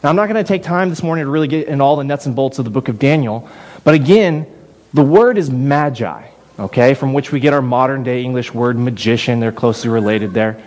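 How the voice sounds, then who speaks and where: A man's voice: mid-range voice, a little fast, darkish tone. A man preaching a sermon in a conversational way, often repeating and restarting words. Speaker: pastor.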